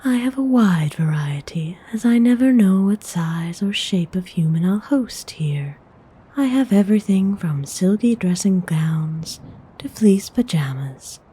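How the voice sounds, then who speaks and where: A woman playing a courteous, commanding vampire noblewoman in an ASMR roleplay, speaking in a soft, mostly whispered voice with genteel, formal phrasing. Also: mid-range voice, expressive, breathy edge. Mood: hospitable, instructing. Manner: genteel, unhurried, formal wording.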